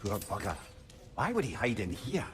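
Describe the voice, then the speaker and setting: A man's voice: lowish voice, wildly swooping pitch, moderate pace, music in the background. A man playing a witty, cheeky talking severed head in a video game, with a wry, colourful way of talking.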